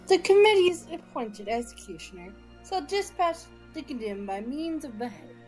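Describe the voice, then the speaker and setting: A woman's voice: high voice, very animated, normal speed, darkish tone, faint music behind, rough recording. A woman playing a pompous, exasperated government minister in an audio drama, speaking in a formal, officious way. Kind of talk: fan audio drama acting.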